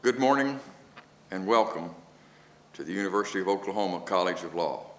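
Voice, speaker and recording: A man's voice: sits low, expressive, a little fast, a bit bright, a little hiss or hum. An older man, a law school dean, giving a formal welcome speech from a podium in a wood-paneled hall. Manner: formal, declaiming. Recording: echoey hall.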